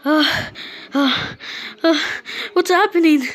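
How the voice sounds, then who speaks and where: A woman playing a frightened, lost child in an amateur audio drama, whimpering and pleading in a halting way. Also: sits high, voice pushed up. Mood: frightened, confused.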